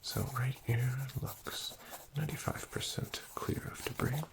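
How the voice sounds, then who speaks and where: A man doing a soft-spoken ASMR doctor roleplay, talking slowly in a gentle half-whisper with hesitant fillers. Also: mid-range voice, steady tone, vocal fry.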